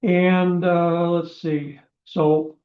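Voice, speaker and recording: A man runs a town commission meeting, his voice slightly higher than most and going hoarse. He speaks haltingly and fills his pauses with "uh" and "um". The room is echoey, and the recording sounds dull and lo-fi. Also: level tone. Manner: halting, many fillers. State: losing his voice. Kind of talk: meeting proceedings.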